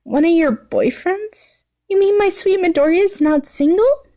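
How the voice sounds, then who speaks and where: A woman's voice: extremely high pitch, unhurried, dark tone, voice pushed up. A woman voicing a gleeful, clingy, sweetly obsessive teenage girl villain in an amateur story reading, gushing in a sing-song way. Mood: dismayed.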